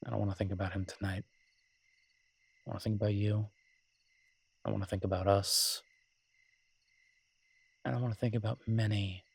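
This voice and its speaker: Husky, low-voiced romantic werewolf boyfriend, played by a man. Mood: loving. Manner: tender, teasing, halting conversational delivery. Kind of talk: romantic roleplay audio, intimate one-sided dialogue.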